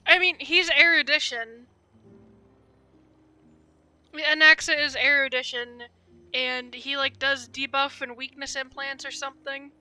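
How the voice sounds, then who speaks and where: A woman's voice: high voice, fairly even intonation, a little slow, long pauses, sharp tone, no room sound. A woman game streamer giving casual livestream commentary, conversational and thinking aloud. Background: faint game music.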